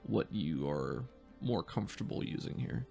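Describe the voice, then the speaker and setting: A man narrating a tabletop roleplaying game as game master, setting scenes and prompting the player in a measured way. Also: sits low, lively intonation, creaky, mellow, soft background music.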